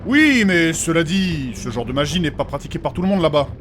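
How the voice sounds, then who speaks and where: Man playing a high-voiced fussy scholar of magic. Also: big pitch swings, fairly quick, a bit bright, a little hiss or hum. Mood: earnest, explanatory. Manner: formal, precise, flustered, repeats himself. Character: learned, formal, fussy male scholar of magic. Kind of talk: French fantasy comedy audio fiction acting.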